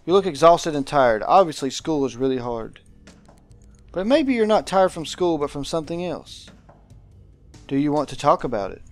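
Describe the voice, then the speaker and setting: A man voicing a visual novel's aristocratic guardian, pompous, dramatic and deliberate, with menacing affection. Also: a little high, expressive, soft background music, natural voice. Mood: stern, probing.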